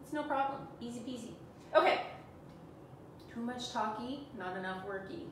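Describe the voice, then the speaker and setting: A woman's voice: a little high, moderate pace, noisy background. Canadian woman in her forties, chatty and self-teasing crafts host, echoey room, mic far off. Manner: chatty, self-teasing, talks to viewers while working. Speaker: furniture painting tutor. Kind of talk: live painting demo chat. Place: small room indoors, sitting on the floor. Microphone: camera on a stand a few feet away.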